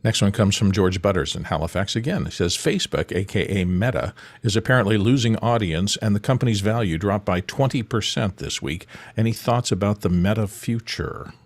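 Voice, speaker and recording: Low-voiced man reading out questions. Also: a little fast, no room sound, clean studio sound. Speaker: panel member reading out audience questions. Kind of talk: reading questions aloud on a live show.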